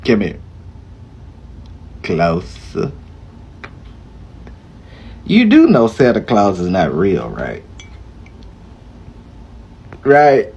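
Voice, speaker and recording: A man's voice: fairly low pitch, wildly swooping pitch, extremely slow, pauses often, darkish tone, slightly rough. A man reacting to a clip in a sarcastic, mocking manner. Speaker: video reactor.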